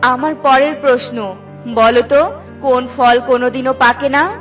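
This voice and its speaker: Woman as good fairy, cartoon, calm, stern, commanding, soft music behind. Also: high voice, a little slow, pitched below the performer's own voice. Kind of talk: children's cartoon story acting.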